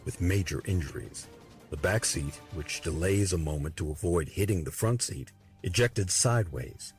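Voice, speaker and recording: Very deep male documentary narrator. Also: darkish tone. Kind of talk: scripted narration. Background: background music.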